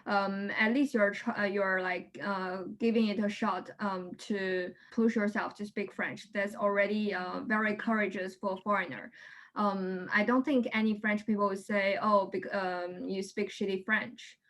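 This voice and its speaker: A woman's voice: mid-range voice, steady tone, measured pace, fairly bright tone. A woman in her twenties from China, answering interview questions directly with frequent ums. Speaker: guest.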